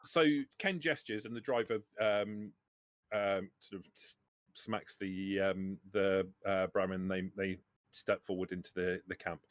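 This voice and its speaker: A man running a tabletop roleplaying game as game master, narrating in a halting way. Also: low voice, fairly even intonation, no room sound.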